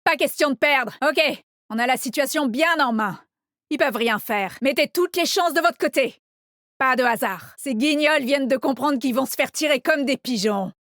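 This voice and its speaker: Cocky, high-voiced female game agent. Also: moderate pace, fairly bright tone, no echo, studio quality. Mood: firm, rallying. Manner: confident, brash, punchy delivery. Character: cocky, teasing female agent, tough but proud squad leader. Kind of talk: video game character voice lines.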